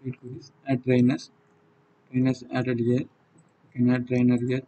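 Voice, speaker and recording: A man with a South Indian accent and a husky voice, giving an unscripted software walkthrough in halting, non-fluent phrases. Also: mid-range voice, monotone, low-quality recording. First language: Tamil. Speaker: software demonstrator. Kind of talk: unscripted screen-recorded tutorial walkthrough.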